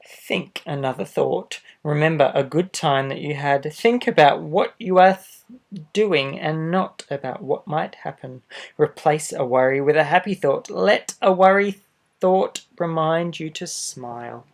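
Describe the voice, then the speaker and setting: A man reading a children's storybook aloud expressively, adding gentle asides for young listeners. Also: a little high, unhurried, creaky. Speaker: storybook reader. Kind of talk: children's picture book read-aloud.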